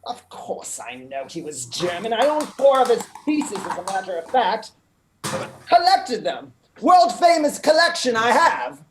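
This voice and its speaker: A woman's voice: a little high, very animated, a little slow, a little hiss or hum. A woman playing a pompous, jovial upper-class English lord with a plummy manner in a comic radio play. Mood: flirtatious, boastful.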